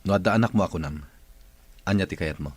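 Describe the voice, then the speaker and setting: An actor playing an irritable, suspicious boss who uses a wheelchair in an audio drama, speaking in a curt, interrogating way. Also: male voice, low-pitched, fast, breathy edge, no echo.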